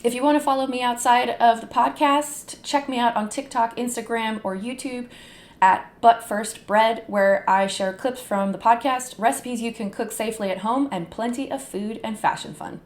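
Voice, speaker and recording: A woman's voice: a little high, steady tone, normal speed. A young adult woman hosting a solo podcast, chatty, with self-deprecating asides. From United States. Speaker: podcast host.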